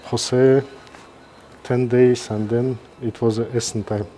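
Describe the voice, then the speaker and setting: A middle-aged man with a Kazakh accent gives informal live commentary as a surgeon, halting and pausing mid-sentence. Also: mid-range voice, level tone, warm tone, a little hiss or hum. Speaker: neurosurgeon presenting a live case.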